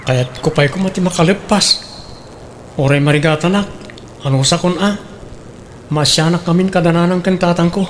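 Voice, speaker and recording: A man's voice: high-pitched, steady tone, normal speed, pauses often, slight background noise, natural voice. A man playing an earnest, apologetic young student boyfriend in an Ilocano radio drama.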